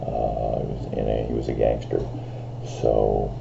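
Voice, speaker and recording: A man in his fifties rambling through a casual vlog, full of fillers and restarts, with a voice he calls big and loud. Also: very deep voice, completely flat delivery, a little slow, vocal fry, dull audio, noisy background. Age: 50s. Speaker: vlogger. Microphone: camera on a screen in front of him.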